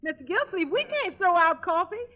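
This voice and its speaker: An actress playing a good-natured, long-suffering household cook, wry and expressive, in a 1940s radio sitcom. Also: female voice, extremely high pitch, brisk. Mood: obliging, puzzled. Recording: dull band-limited 1940s radio recording.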